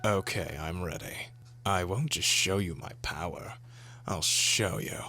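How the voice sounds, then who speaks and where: A man's voice: low voice, measured pace, sharp tone, heavily acted. A man voicing a vengeful, menacing young card-duel villain in a dramatic, brooding manga style. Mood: menacing, smug. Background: background music.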